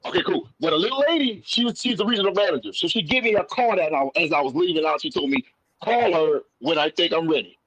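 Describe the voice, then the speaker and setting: A man telling a personal story casually as a guest, animated and folksy, repeating phrases and acting out conversations. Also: fairly high pitch, fast, rough recording.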